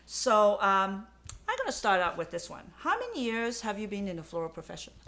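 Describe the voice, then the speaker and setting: A woman's voice: medium pitch, wildly swooping pitch, fairly quick, fairly bright tone. A woman hosting a casual interview, chatty and enthusiastic, often stumbling and restarting as she asks her questions. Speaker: host.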